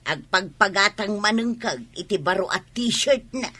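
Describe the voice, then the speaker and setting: A woman playing an excitable village gossip with an Ilocano Filipino accent, exclaiming and drawing out her words in a comic radio drama. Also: medium pitch, measured pace. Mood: boastful.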